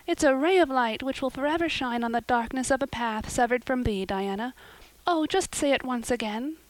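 A woman's voice: sits high, moderate pace, no room sound, pitched above the performer's own voice, close to an everyday voice, low-quality recording. A woman voicing a romantic, dramatic young girl in an audiobook, speaking earnestly in flowery, old-fashioned phrases. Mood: wondering, rapturous. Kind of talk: audiobook character voice.

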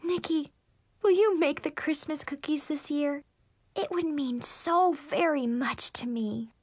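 A woman's voice: sits high, measured pace, darkish tone, no room sound, clean studio sound, voice pushed up. A woman voicing a doting, sappy mother in a story, sweet and gushing. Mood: pleading.

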